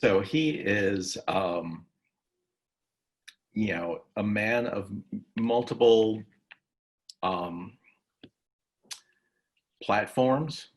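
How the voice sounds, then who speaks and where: A man with a fairly low voice hosts an interview at a slow pace. He speaks conversationally, in halting short phrases, pausing as he frames each point. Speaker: interviewer, session host.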